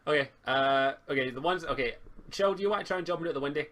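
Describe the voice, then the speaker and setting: A man's voice: fairly high pitch, fast, fairly bright tone. A Scottish man narrating an online tabletop role-playing game as game master in an informal, casual way.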